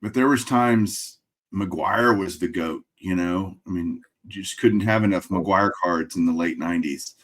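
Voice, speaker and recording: A middle-aged man from Texas with a Texas accent, a guest on a video call from a room of framed memorabilia, relaxed and dry-humored as he thinks out loud. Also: low-pitched, a little slow. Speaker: guest collector. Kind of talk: casual interview over video call. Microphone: webcam video call.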